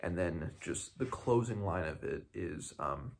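A man's voice: low-pitched, expressive, unhurried, vocal fry. A man in his twenties giving a casual, unscripted book review to camera in a small home room, thinking aloud hesitantly and often restarting his sentences. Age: 20s.

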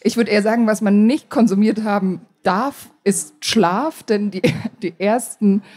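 A female interview guest with an average-pitched voice. She answers conversationally and casually, as an author at a live stage talk. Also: unhurried, darkish tone, breathy edge. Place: stage at a book fair.

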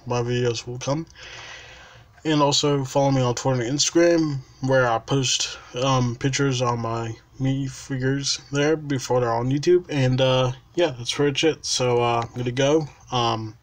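A man casually showing his custom toy figures, halting with fillers and restarts, sniffling with a dry mouth, recorded on a camera mic at his desk. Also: medium pitch, steady tone. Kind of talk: casual showcase narration.

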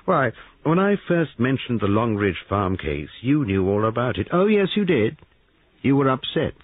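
A man voicing a brisk, self-satisfied young man posing as a policeman in an audiobook. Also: big pitch swings, no room sound. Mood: accusing. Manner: brisk, confident, lifting pitch. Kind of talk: audiobook character voice. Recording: narrow phone-like sound, dry.

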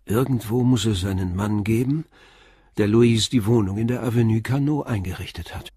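An actor playing a calm, probing police inspector in a radio crime drama, with a husky voice and a deliberate, matter-of-fact manner. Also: male voice, lowish voice, dry recording.

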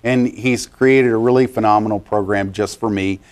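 A man's voice: on the low side, steady tone, moderate pace. Chatty middle-aged male TV host. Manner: chatty, enthusiastic, promotional. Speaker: TV show host. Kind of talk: TV business interview. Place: TV studio set.

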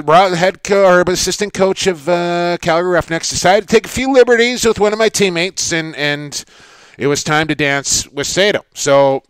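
A man's voice: high-pitched, smooth, dry recording. A man co-hosting a podcast, chatting casually and telling long self-deprecating stories. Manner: rambling, self-deprecating storyteller. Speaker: podcast co-host.

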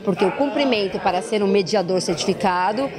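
A woman's voice: mid-range voice, a little fast, a crowd in the background. A woman in her fifties, a mediator, answering interview questions on a handheld mic in a lecture room, earnest and advisory with hesitant fillers. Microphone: handheld mic, close framing.

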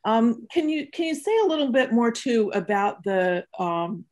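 A woman's voice: fairly high pitch, animated, normal speed, darkish tone. A woman curator moderating a webinar on a video call, asking questions while searching for her words.

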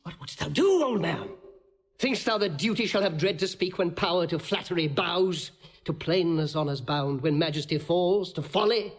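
High-voiced, defiant nobleman. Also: expressive, normal speed. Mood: defiant, urgent. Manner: earnest, declamatory, outspoken. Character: blunt, loyal nobleman, passionate and defiant. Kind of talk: classical Shakespearean audio drama acting.

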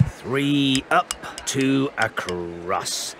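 An actor voicing a big, kindly, rough giant groundskeeper in a video game, hearty and rustic. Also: male voice, mid-range voice, expressive, very slow, background noise. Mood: jovial. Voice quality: gravelly.

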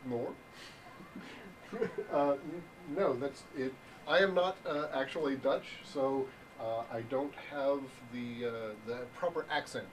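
A young man giving an improvised mock lecture in a deadpan, halting way, full of ums, in a hotel function room. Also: medium pitch. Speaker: improv presenter. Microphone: far room camera. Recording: distant voice with room hiss.

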